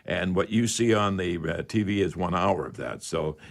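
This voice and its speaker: A man's voice: low-pitched, expressive, normal speed, vocal fry. Older man, folksy radio host. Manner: folksy, chatty, rambling with old-fashioned expressions. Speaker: radio call-in show host. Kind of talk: casual home-improvement call-in radio talk.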